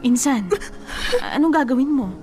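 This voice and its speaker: An actress playing a blunt, bossy female cousin in a radio drama, teasing and scolding with a sharp tongue. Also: sits high, expressive, moderate pace, over music.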